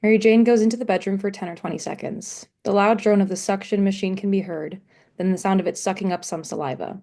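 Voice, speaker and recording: A woman narrates stage directions for a table read of a play. Her voice sits at a medium pitch and her delivery is scripted and matter-of-fact. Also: fairly even intonation, fast, warm tone.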